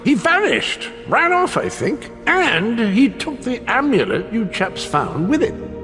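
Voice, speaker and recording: A man voicing a jaunty, foppish British gentleman trickster in a video game, flippant and politely posh. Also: very high voice, huge pitch swings, slow pace, full character voice. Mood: breezy, flippant. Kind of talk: video game character acting. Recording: hall reverb, music behind.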